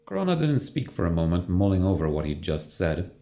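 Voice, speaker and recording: Deep, expressive male story narrator. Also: a little fast. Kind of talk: scripted story narration for a sci-fi audio drama. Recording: music bed under the voice.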